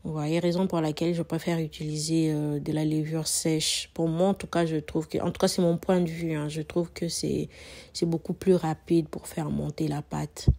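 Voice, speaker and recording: A woman with a cold narrates her home cooking, her voice hoarse and fairly low. She speaks in a flat, even tone, chatty and loose, repeating words and dropping in fillers as she works. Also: normal speed. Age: adult mother. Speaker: cooking channel host. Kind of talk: casual cooking tutorial narration.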